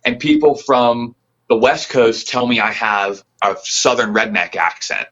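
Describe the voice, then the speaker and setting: A man's voice: mid-range voice, steady tone, unhurried, a bit bright, highs cut off. A man from the Pacific Northwest, a band member, chatting as a guest in a loose, rambling, earnest way. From Pacific Northwest, near Tacoma. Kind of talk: casual interview chat.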